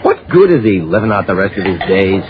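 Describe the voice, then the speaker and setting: Sneery shifty crook bartender, old radio crime show, lively lowish voice, dull sound. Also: male voice, a little fast. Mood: sneering, sly. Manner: slangy, sneering. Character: shifty, cocky small-time crook bartender. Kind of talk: 1950s radio drama acting. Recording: muffled old radio recording, background chatter.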